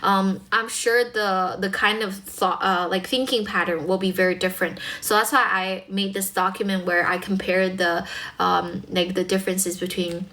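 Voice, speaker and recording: A woman's voice: mid-range voice, flat delivery, normal speed, bright, forceful tone. A young woman with a North American accent and Chinese as her first language, chatting casually and encouragingly with her livestream viewers as an English tutor, with plenty of "um" and "like". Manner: chatty, encouraging, filler-heavy.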